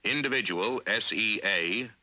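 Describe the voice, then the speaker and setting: Forceful low-voiced American male narrator, 1950s film. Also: dry recording, low-quality recording. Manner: authoritative, clipped, deliberate.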